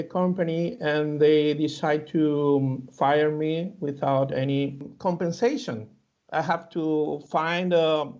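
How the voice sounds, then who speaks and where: A man from Cuba with a Cuban Spanish accent, answering interview questions haltingly with many fillers and restarts; Spanish is his first language. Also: on the high side, creaky, darkish tone. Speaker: interview guest. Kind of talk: hesitant conversational interview answers. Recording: dull lo-fi audio.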